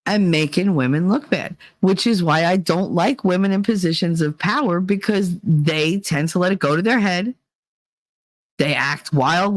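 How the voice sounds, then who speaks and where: A woman's voice: deep voice, no room sound. A woman hosting a vlog, giving blunt, rambling opinions with plenty of swearing. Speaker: vlog host. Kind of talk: casual opinion monologue.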